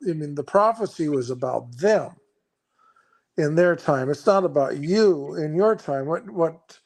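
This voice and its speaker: A man with a somewhat high voice explains scripture in a conversation. He talks earnestly but haltingly, circling back and restarting his sentences as he works through his point. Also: animated, a little mellow. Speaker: guest.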